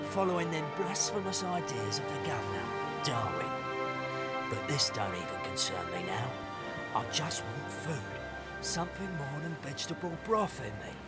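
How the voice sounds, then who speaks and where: An actor playing a hungry, grumbling male sailor from the 1800s. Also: a little high.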